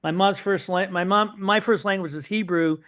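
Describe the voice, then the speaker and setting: A middle-aged man, a guest on an interview podcast, telling his family's story in a lively, anecdotal way full of asides. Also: sits high, moderate pace. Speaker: podcast guest, son of Holocaust survivors.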